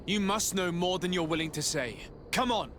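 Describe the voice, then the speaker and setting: A man's voice: high voice, expressive, moderate pace, background noise. A man acting as a stern emissary of a merchant state in a video game, speaking formally and with measure.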